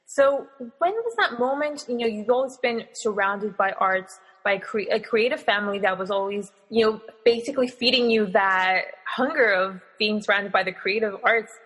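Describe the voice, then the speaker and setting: A woman's voice: mid-range voice, normal speed, few pauses, a bit bright, slightly rough, long reverb tail, low-quality recording. A woman hosting an interview podcast, enthusiastic, asking long, winding questions. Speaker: podcast host.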